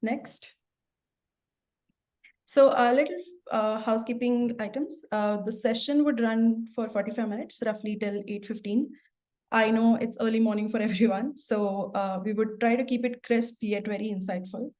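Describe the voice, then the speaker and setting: Soft-toned woman hosting a webinar. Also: a little high, fairly even intonation, moderate pace. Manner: hesitant, many fillers and restarts. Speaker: webinar host, product manager. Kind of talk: webinar moderation.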